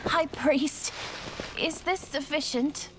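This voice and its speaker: High-voiced meek servant, noisy. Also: female voice, slow. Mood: meek, dismayed. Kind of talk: video game voice acting. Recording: distant voice under loud ambient noise.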